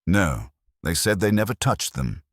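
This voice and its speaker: A man voicing an earnest widow, low and husky. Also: big pitch swings, unhurried, no room sound, studio quality, full character voice. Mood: composed, concerned. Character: earnest, composed widow defending her late husband.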